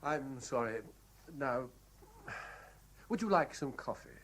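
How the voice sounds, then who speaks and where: An actor playing an earnest, insistent gentleman lawyer in a 1970s radio drama. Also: medium pitch, expressive, takes it slowly. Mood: guarded. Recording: noisy old 1970s radio broadcast.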